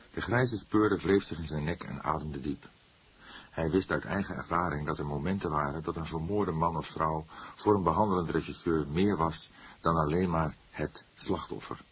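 Very deep, husky male audiobook narrator. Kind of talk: scripted crime novel narration.

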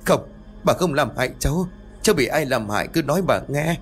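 A man's voice: lowish voice, big pitch swings, moderate pace, soft background music. A man voicing a terrified old grandmother who pleads and cries out in panic. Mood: pleading.

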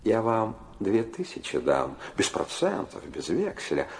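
An actor playing a brazen, insinuating middle-aged moneylender who wheedles and prods with short questions in a radio drama. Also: male voice, fairly low pitch, wildly swooping pitch, measured pace, a little hiss or hum, full character voice. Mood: sly, insinuating.

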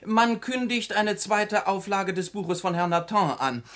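A worldly male journalist in a German radio drama. His voice sits on the high side, and he speaks with urbane, mocking eloquence. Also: a little slow, fairly bright tone. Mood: amused, mocking. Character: suave, mocking male journalist, worldly and cynical man-about-town.

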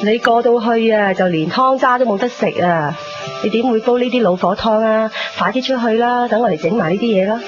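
A woman's voice: a little high, fairly quick, lightly acted. A woman with a Hong Kong Cantonese accent playing a caring, fussing middle-aged mother in a radio drama. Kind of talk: 1990s radio drama acting. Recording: dull lo-fi radio recording under music.

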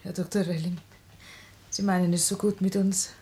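A woman's voice: on the low side, little pitch movement, normal speed, dark tone, lightly acted. An actress playing an anxious, caring wife and mother in a radio play, fussing solicitously. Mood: worried. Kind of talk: German radio play acting.

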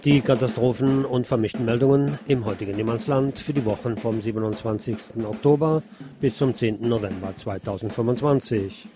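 A man presenting a scripted radio news commentary, reading out press reports in a measured, explanatory way. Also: mid-range voice, over loud music.

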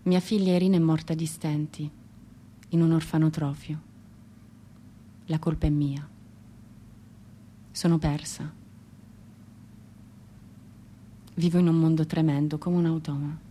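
An actress playing a tormented, romantic and proud woman poet and grieving mother, delivering a dramatic, intense radio monologue with deliberate pauses. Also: sits low, level tone, mellow, a little hiss or hum, lightly acted. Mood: grieving, desolate. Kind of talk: theatrical radio drama monologue with poetry recitation.

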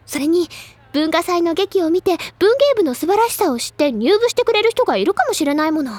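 An excitable teenage bookworm upperclassman with a high, girlish voice. She declaims and lectures theatrically, sure of herself, in an anime-style audio drama. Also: fairly quick, no echo. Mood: pouting, defensive. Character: excitable, theatrical high-school literature-club girl, self-assured upperclassman.

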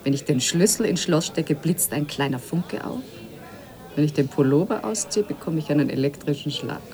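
A sardonic conference guest in a radio drama. Her voice sits slightly low, and her wit is edgy and mocking. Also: lively intonation, moderate pace, warm tone. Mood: uneasy. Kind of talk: German radio drama acting.

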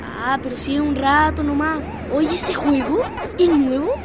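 A woman's voice: high-pitched, measured pace, noisy background. A woman playing a casual, easily exasperated Chilean schoolboy, full of slang, in an educational radio drama. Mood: casual, eager.

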